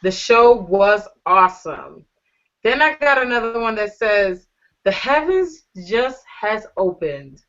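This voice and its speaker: A woman's voice: medium pitch, lively intonation, takes it slowly, a bit bright. A woman hosting a casual online panel show, gushing and flirty as she thanks her guests. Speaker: talk show host. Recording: dull lo-fi audio.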